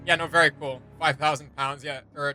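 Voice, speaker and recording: A man's voice: on the high side, steady tone, fairly quick, sharp tone, soft background music. A man giving casual reaction commentary as a video host, thinking aloud in a rambling way with trailing asides.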